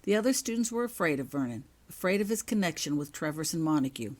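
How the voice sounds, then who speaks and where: Low-pitched woman reading a story. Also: lively intonation, quick pace, a little mellow. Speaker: author reading own fan fiction aloud. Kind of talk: scripted narration, audiobook-style story reading.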